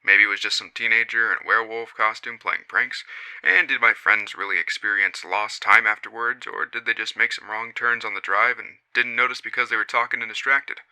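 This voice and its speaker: A man voicing a male witness who recounts his friends' strange encounter in a measured, puzzled and skeptical way. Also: fairly low pitch, fairly even intonation, bright, forceful tone, clear, resonant tone, poor audio. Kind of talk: first-person reading of a witness account.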